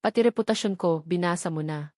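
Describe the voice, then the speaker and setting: A woman's voice: on the low side, fairly quick, darkish tone, no room sound, studio quality, lightly acted. A woman voicing a cold, commanding wealthy young heir who speaks curtly and sarcastically and mixes English into Tagalog, in a storybook audio drama.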